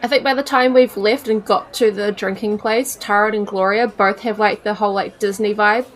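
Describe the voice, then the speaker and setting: A woman's voice: mid-range voice, steady tone, moderate pace. A woman with a New Zealand accent chatting casually as a player in a tabletop game. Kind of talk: casual chat describing play. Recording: music playing behind the voice.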